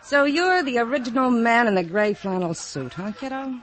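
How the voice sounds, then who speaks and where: An actress playing a brash, scheming young New York Cinderella, slangy and wisecracking, in 1950s radio comedy style. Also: female voice, on the high side, animated, moderate pace, a little hiss or hum, lightly acted. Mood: breezy, brassy. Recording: vintage radio recording, loud music behind. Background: music.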